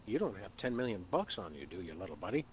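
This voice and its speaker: Laid back cartoon dog detective, dry and wry, lively melody, faint hiss behind. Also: male voice, sits low, quick pace. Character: calm, deadpan anthropomorphic dog detective. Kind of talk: video game voice acting.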